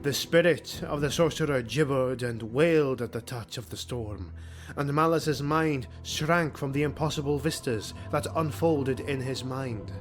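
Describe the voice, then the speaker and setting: A man's voice: mid-range voice, a little slow. A man narrating a fantasy audiobook in a grave, theatrical, dramatic scripted style. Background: music.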